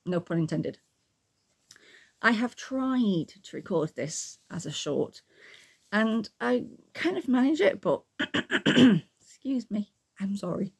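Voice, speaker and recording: A middle-aged woman, croaky from a frog in her throat, chatting to camera in a rambling way full of ums and throat clearing. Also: mid-range voice, lively intonation, slow pace. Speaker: book and art YouTuber. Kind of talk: casual chat to camera.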